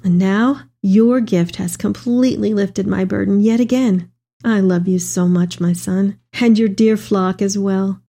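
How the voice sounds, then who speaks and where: A woman's voice: medium pitch, steady tone, a little slow, dark tone. A woman playing an elderly, devout Mary in a dramatic reading of tender, earnest letters to her son. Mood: grateful, loving.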